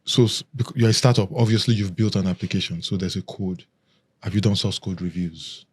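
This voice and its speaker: A man's voice: sits low, fairly quick, slightly rough. A man, a security expert guest, explaining step by step in a casual podcast chat. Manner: explains step by step, lists questions.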